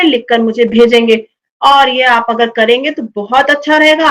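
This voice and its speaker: Woman, high voice, children's grammar teacher, didactic, question-led explanation, encouraging. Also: sharp tone, low-quality recording. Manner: didactic, checks understanding with frequent questions. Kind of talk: explanatory lesson addressed to children.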